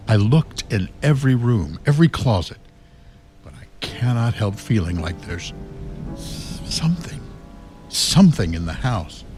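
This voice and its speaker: An actor playing a grieving, uneasy man who narrates a radio ghost story in an ominous, halting way. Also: low-pitched. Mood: uneasy dread. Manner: slow, ominous, halting with dread. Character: grieving, uneasy man reading a dead friend's diary. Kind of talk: radio horror drama narration.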